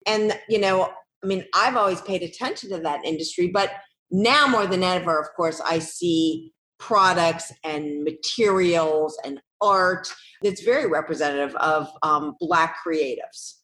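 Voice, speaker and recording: A woman's voice: on the low side, unhurried, fairly bright tone. Affirming woman host in an echoey room. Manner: affirming, thinks aloud with fillers. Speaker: interviewer, host. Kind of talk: conversational interview.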